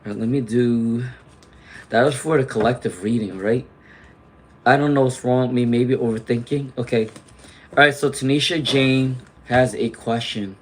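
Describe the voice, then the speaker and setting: A man doing a live tarot reading, chatty and reassuring, clearing his throat often as he loses his voice to laryngitis. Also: mid-range voice, fairly even intonation, moderate pace, slight background noise. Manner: chatty, reassuring, frequent "okay?" and "all right?" tags. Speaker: tarot reader; teacher.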